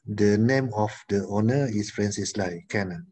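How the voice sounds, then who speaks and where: A man's voice: lowish voice, moderate pace, darkish tone, breathy edge. A Malaysian man in his thirties, a hesitant student practicing English answers on a video call. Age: 30s. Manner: hesitant, searching for words.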